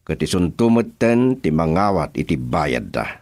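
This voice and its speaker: An actor playing an authoritative, calculating crime boss in a radio drama, with a Filipino accent. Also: male voice, deep voice, big pitch swings, moderate pace, a little mellow, dry recording, clean studio sound. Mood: commanding. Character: commanding male crime boss giving orders to henchmen.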